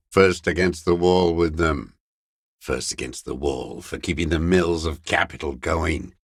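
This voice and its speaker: Game role: aged revolutionary holdout, deep-voiced, very slow delivery, scornful, weary and unrepentant. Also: male voice, fairly bright tone, husky, dry recording, studio quality, heavily acted. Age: older. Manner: contemptuous, ideological, slow and weary. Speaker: video game character actor. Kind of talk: dramatic game voice acting, bitter monologue.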